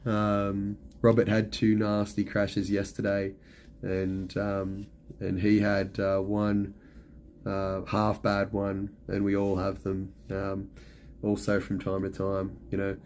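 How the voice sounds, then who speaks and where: A middle-aged man talks loosely on a live stream, his voice hoarse and worn as if he were losing it. The tone sits low and mellow, and he rambles from one point to the next, padding his sentences with ums and you-knows. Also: completely flat delivery, takes it slowly, a little hiss or hum. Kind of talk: casual live stream chat.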